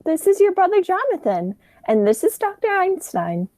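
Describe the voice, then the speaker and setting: A fussy, motherly old aunt played by a teenage girl in an amateur radio play. The voice is high and very sing-song, with a mellow tone and a gently scolding way of talking. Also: sing-song, moderate pace, no echo, heavily acted.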